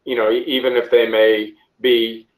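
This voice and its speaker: A man giving an interview answer as a coordinator on a conference call, speaking haltingly with frequent restarts. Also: medium pitch, flat delivery, poor audio. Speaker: officiating coordinator, interview guest.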